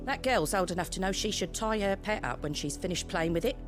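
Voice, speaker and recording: An actress as a haughty village woman in a fantasy game. Her mid-pitched voice swings with scorn, curt and dismissive. Music plays clearly underneath. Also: expressive, brisk, lightly acted. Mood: disdainful. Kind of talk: video game cutscene acting.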